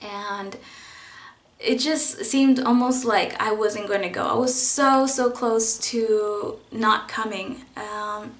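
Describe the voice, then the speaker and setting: A woman's voice: medium pitch, steady tone, slow pace. Young woman, college student, earnest and reflective, lots of ums and restarts, echoey room. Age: young adult. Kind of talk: personal faith testimony vlog.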